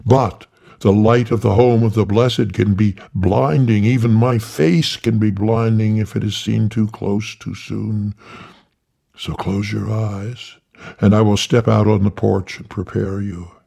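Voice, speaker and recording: A man voicing a trickster who poses as an archangel, speaking slowly and solemnly with a commanding tone. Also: on the low side, fairly even intonation, gravelly, mellow, slightly rough, heavily acted. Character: trickster posing as a booming archangel. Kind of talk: storytelling.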